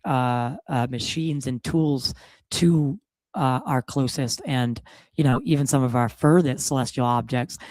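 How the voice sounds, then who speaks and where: A man with a gravelly, slightly hoarse voice, hosting a podcast in loose, casual, swearing chat full of fillers. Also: mid-range voice, darkish tone, no echo. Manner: loose and profane, lots of fillers. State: a bit hoarse from a cold. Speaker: podcast host.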